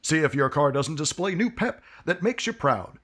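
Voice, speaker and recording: A man's voice: a little high, expressive, normal speed, a bit bright, breathy edge. A man reading a folksy, upbeat 1950s-style radio commercial as announcer.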